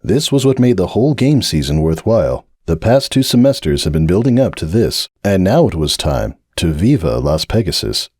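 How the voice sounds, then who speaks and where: Guy reading a story aloud with lots of expression, deep voice, dead dry sound. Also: big pitch swings, moderate pace, hardly any pauses, a little mellow, breathy edge, no echo. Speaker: narrator, reader. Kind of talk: dramatic reading of fan fiction.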